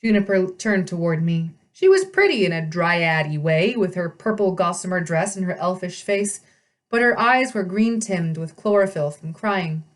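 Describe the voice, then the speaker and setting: A woman's voice: fairly low pitch, expressive, normal speed. A woman narrating a storybook aloud in the first person, expressively, as a storyteller.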